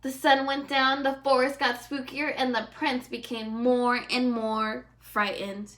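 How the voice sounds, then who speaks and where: A woman teaching first graders through a read-aloud lesson. Her voice is fairly high and bright, and she is expressive, addressing the pupils directly. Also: measured pace.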